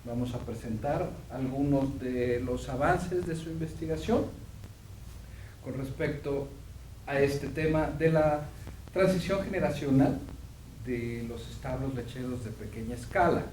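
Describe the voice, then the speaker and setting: Male professor lecturing, mid-pitch, roomy hall, heard from afar with faint hum, measured delivery. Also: a little mellow, far from the mic. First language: Spanish. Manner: measured, with frequent fillers. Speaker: visiting professor. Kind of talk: academic lecture.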